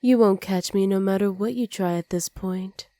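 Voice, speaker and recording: A woman voicing a dominant, teasing supervillain in an ASMR roleplay, smug and commanding with soft, intimate delivery. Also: medium pitch, moderate pace, dry recording, natural voice. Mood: smug, taunting.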